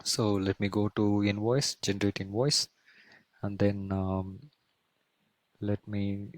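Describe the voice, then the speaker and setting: A man instructing through a screen-share software tutorial, explaining step by step and often rephrasing and checking with tag questions. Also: on the low side, little pitch movement, slow, no room sound.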